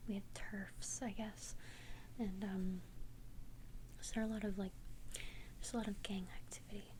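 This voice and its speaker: A woman playing a protective vampire best friend in a whispered ASMR roleplay, speaking breathily in a soothing, halting, reassuring way. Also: medium pitch, flat delivery, background noise, natural voice. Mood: earnest, protective.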